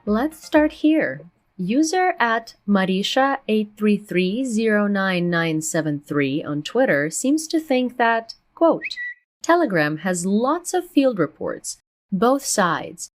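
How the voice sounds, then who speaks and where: A woman's voice: mid-range voice, big pitch swings, slow pace, faint music behind. A woman hosting an interview, reading viewer comments aloud in a dry, wry way. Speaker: interview host.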